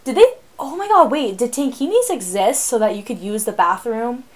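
A teenage girl co-hosting a podcast, chatting casually in an animated, rambling way, often laughing. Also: fairly high pitch, moderate pace, a crowd in the background. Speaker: podcast co-host. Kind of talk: casual chat.

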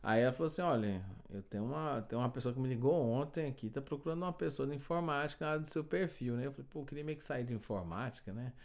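A Brazilian man casually telling a story about job hunting as a new immigrant, animated and laughing often. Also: mid-range voice, fast talker, hardly any pauses. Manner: animated, laughing, many tag questions.

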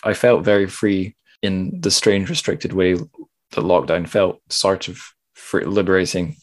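A man's voice: low-pitched, steady tone, a little slow. A man hosting a podcast in a casual, chatty way, with self-deprecating, rambling asides. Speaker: podcast host. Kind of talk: casual spoken podcast intro and chat.

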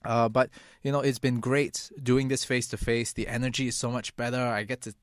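A man hosting a podcast, asking questions in a relaxed, easygoing way with frequent uhs. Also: mid-range voice, fairly quick, no echo, clean studio sound. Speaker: podcast host. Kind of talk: casual interview chat.

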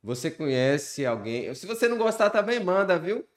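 A man's voice: high voice, big pitch swings, moderate pace. A man around forty hosting a podcast, upbeat and jokey in a chummy way. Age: 40s. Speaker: podcast host.

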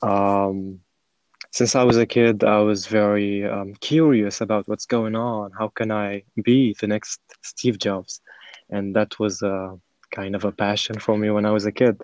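A man, telling his story in a halting, reflective way as a podcast guest. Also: on the low side, flat delivery, a little mellow, dry recording, poor audio. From Syria. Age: 20s. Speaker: podcast guest, former startup program participant. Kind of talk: conversational personal storytelling in an interview.

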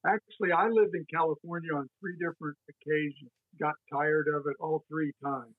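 Male podcast guest, husky, fairly high voice, measured, thin phone-like line. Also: fairly even intonation, no room sound. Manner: measured, repeats words as he thinks. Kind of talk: casual podcast chat.

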